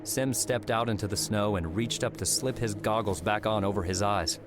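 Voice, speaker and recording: A male narrator reads a story in a fairly low voice. His scripted audiobook delivery sits over clear background music. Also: moderate pace. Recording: music behind the voice.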